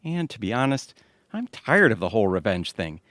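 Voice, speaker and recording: An actor playing a good-natured, exasperated middle-aged husband in an audio theatre comedy, wisecracking and confiding. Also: male voice, on the low side, very animated, normal speed, a bit bright, no room sound, studio quality. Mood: confiding, pleased.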